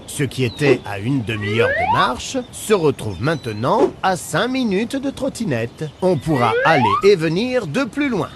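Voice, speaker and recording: A man voicing an excitable, boastful inventor in a cartoon, with an enthusiastic salesman's delivery. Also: high-pitched, a little slow, hardly any pauses, heavy background noise, full character voice. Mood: excited, proud. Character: excitable, boastful male inventor of robotic scooters. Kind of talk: cartoon voice acting.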